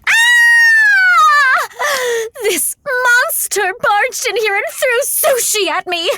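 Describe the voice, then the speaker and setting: A woman's voice: very high voice, expressive, very slow, pushed and bright, no echo, raised voice, heavily acted. A woman playing a scheming, sardonic young mother with a melodramatic streak in a comedy audio drama. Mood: fake hysterics. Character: scheming gold-digging young mother, brash and sly.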